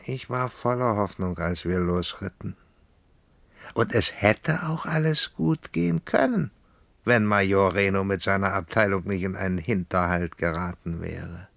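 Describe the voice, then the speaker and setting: An actor playing a proud, self-justifying cavalry commander of the Old West in a German radio play, speaking defensively and rhetorically. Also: male voice, sits low, lively intonation, unhurried, vocal fry, fairly bright tone, dry recording, full character voice. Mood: insistent, regretful.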